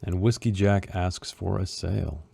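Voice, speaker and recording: A man's voice: sits low, unhurried, creaky, mellow, slightly rough, dry recording. A man hosting a book club, casually recapping a chapter with informal asides and passages read aloud.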